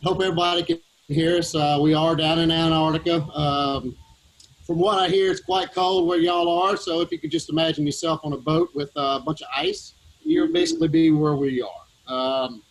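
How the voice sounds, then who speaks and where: A man with a Southern US accent, a team leader explaining his work to schoolchildren in a relaxed way with many fillers, aboard a ship. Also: fairly high pitch, moderate pace, poor audio. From Louisiana, USA. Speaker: underwater vehicle team leader. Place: operations room on a ship.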